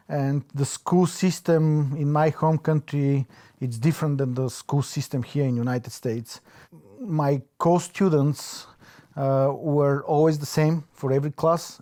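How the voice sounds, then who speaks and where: Man, Bulgarian accent, soft mellow tone, interviewee, reflective, halting delivery with many pauses. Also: a little high. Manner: hesitant, pausing often to search for words. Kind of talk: reflective oral history interview.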